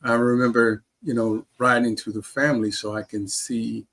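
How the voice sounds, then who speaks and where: Gravelly American man, halting casual storyteller. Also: medium pitch, little pitch movement, a bit bright. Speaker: interview guest, heart transplant recipient. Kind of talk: casual personal storytelling in an interview.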